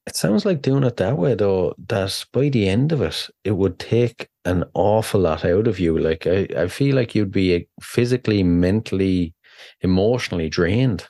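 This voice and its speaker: A man hosting an interview, chatty and quick to laugh. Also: low voice, animated, mellow, no room sound, studio quality. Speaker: podcast host. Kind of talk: casual interview.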